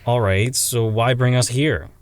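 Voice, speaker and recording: A man's voice: medium pitch, steady tone, a little slow, clean tone, no echo, close to an everyday voice. Guy playing confused reluctant young hero in radio drama, mid voice, cant believe it. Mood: wary. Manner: stammering, incredulous. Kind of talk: audio drama acting.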